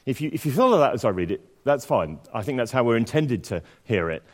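Slightly croaky man preaching in a church. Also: medium pitch, big pitch swings, fairly quick. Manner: conversational, self-deprecating, with fillers and restarts. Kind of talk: sermon with scripture reading. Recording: long church reverb.

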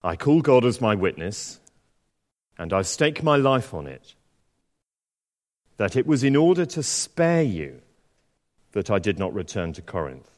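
A man with a slightly croaky voice delivers a sermon, moving from easy, self-deprecating chat to a slow scripture reading. His pitch swings widely as he talks. A long reverb from the church hangs behind him. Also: sing-song, a little mellow. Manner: conversational, self-deprecating, with fillers and restarts. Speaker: preacher.

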